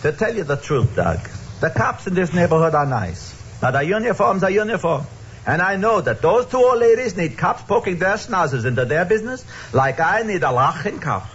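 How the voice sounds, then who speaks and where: A high-voiced, very animated kindly, worrying deli man with a New York Yiddish accent. He pleads emphatically in old radio style, through a dull, noisy recording. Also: moderate pace. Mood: pleading, urgent. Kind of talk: old-time radio acting.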